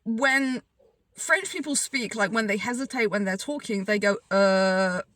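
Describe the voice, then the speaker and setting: A young woman born in the UK, telling a story to camera in a chatty, animated way with lots of fillers. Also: mid-range voice, unhurried, no echo. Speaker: vlogger.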